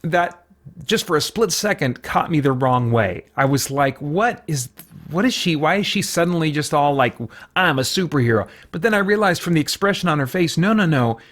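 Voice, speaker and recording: A man's voice: fairly high pitch, animated, normal speed, a bit bright. A man hosting a review show, talking casually in unscripted commentary and searching for his words as he thinks aloud. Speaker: host of a comic book review show.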